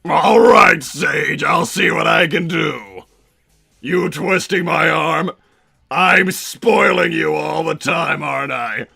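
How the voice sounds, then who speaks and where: Bombastic cartoon villain scientist, middle-aged male, forceful, gravelly delivery, exclaiming theatrically in a livestream Q&A, music underneath. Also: on the high side, takes it slowly, exaggerated voice. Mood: indulgent, exasperated. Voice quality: gravelly, raspy. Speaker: livestream host in character. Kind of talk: improvised in-character livestream Q&A, reading out viewer messages.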